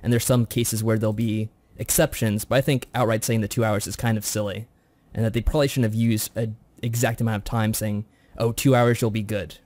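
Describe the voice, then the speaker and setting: A man co-hosting a podcast, discussing things casually in a measured, agreeable way. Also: lowish voice, level tone, darkish tone, no room sound. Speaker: podcast co-host.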